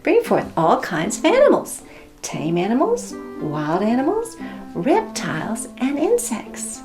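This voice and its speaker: A woman voicing God as a grand storybook creator, giving slow, declarative commands. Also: on the high side, huge pitch swings, over music. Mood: grand, commanding.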